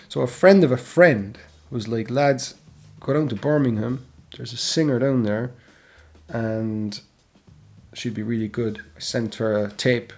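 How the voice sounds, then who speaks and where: A man's voice: medium pitch, gravelly. A man hosting a music history show, narrating a band's story in a casual, chatty way and often tagging on "right?". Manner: chatty, keeps adding "right?". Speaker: music history channel host.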